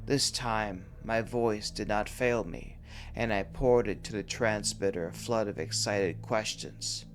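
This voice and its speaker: A man narrating a scripted horror story in a grave, deliberate, dramatic manner. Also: lowish voice. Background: background music.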